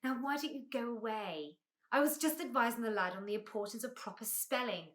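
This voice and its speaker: A woman voicing a fussy, proud, excitable male bee in a storybook reading. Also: fairly high pitch, fairly quick, lightly acted. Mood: disdainful. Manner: fussy, spells words out letter by letter.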